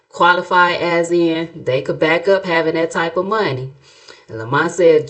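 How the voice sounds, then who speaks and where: A middle-aged American woman giving sassy, gossipy reaction commentary as a host, in a colloquial, playfully mocking, dramatic storytelling way. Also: lowish voice. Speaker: YouTube commentary host, narrator.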